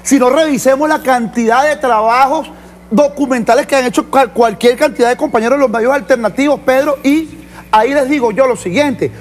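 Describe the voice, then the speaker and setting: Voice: high voice, brisk. A Venezuelan reporter on a political show, discussing off the cuff and insistently repeating points for emphasis.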